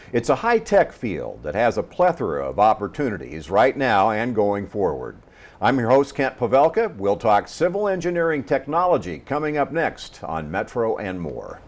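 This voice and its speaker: A man hosting a television interview program, with a polished announcer's delivery as he introduces and questions a guest. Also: medium pitch. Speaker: host.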